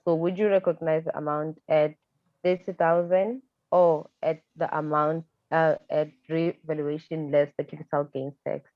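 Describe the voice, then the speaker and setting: A woman's voice: deep voice, flat delivery, normal speed. A woman speaking as a student, tentatively asking her tutor questions and often checking she is understood, on dull, roomy online-call audio. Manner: tentative, checks with a tag "right?". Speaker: accounting student.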